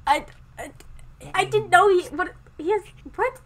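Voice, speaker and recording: A woman's voice: extremely high pitch, a little slow, a bit bright. A woman playing a flustered, evasive survivor in an improvised game roleplay, stammering and hesitant. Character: flustered, evasive young female zombie-apocalypse survivor hiding secrets.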